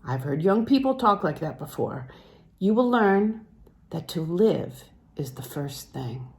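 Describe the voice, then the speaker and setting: A woman voicing a grave, kindly older male music teacher who counsels in a deliberate, emphatic way. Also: lowish voice, sing-song, darkish tone. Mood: grave advice.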